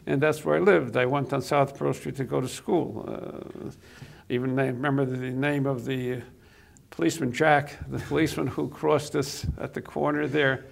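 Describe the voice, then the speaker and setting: A man's voice: mid-range voice, fairly even intonation, normal speed, creaky. An older man from Poland, Yiddish his first language, reminiscing in a studio interview, hesitant with many fillers and restarts, on a lapel mic. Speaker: interview guest. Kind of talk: reminiscing conversation. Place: TV studio set.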